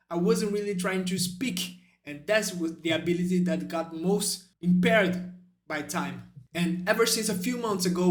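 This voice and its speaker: High-voiced Brazilian man, halting vlog talk. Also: echoey room. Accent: Brazilian Portuguese. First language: Brazilian Portuguese. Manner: halting, searching for words. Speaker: YouTuber. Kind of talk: spontaneous talking-head vlog.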